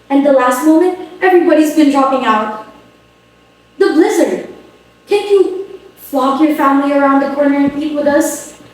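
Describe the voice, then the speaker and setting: A woman's voice: high-pitched, natural voice. A woman acting a flustered, apologetic grown daughter in a dramatic reading, fretful and pleading. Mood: polite. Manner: fretful, pleading, self-blaming. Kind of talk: stage-style dramatic reading. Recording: very echoey room, distant mic.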